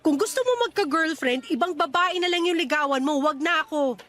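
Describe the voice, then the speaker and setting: Woman acting a high-voiced, curt young woman. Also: fairly quick, a bit bright, soft background music. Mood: cold, firm. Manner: curt, irritated, firm. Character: curt, defensive young woman rejecting a suitor. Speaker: voice actor in a radio-style love story. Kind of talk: Filipino radio drama acting.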